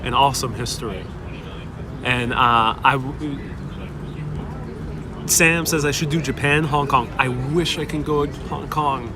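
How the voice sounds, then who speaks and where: A man's voice: mid-range voice, measured pace, long pauses. A young man whose first language is Spanish, hosting a casual livestream and reading viewer questions aloud as he chats outdoors. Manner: reads viewer questions aloud and rambles warmly. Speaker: livestream host. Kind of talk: casual live-stream chat. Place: outdoors on a busy city street. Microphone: wireless clip-on mic. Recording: outdoor street with clear crowd noise. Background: street crowd and passing traffic.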